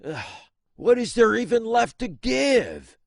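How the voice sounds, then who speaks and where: A man playing a rough, aggrieved outdoorsy elder brother in a comic audio drama, talking in a colloquial, exasperated drawl. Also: very high voice, sing-song, slow pace, dry recording, clean studio sound, exaggerated voice. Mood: bitter, exasperated.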